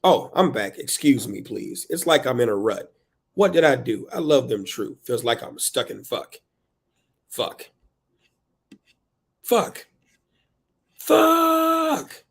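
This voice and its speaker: A man's voice: mid-range voice, lively intonation, unhurried, vocal fry, husky, full character voice. A man voicing an exasperated, overwhelmed single mother of three in a dramatic, emphatic spoken-word book reading. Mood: frustrated, despairing.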